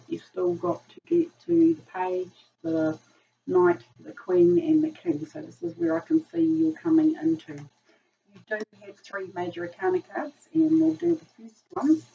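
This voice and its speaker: Woman from New Zealand, low gravelly voice, tarot reader, distant lo-fi mic with faint hiss. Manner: chatty, filler-heavy, frequent ums. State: croaky voice from a virus. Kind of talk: casual tarot reading.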